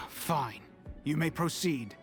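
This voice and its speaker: An actor playing a gruff, wary guard on secret duty in a video game. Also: male voice, medium pitch, animated, drawn-out words, music in the background, heavily acted. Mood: wary, grudging. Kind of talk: scripted video game dialogue.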